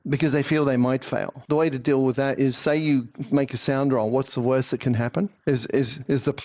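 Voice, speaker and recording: A man of middle pitch gives long, explanatory interview answers. He often stumbles over repeated words before he finds his sentence. The recording sounds slightly dull and muffled. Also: steady tone, a little fast, darkish tone. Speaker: guest, language-learning speaker.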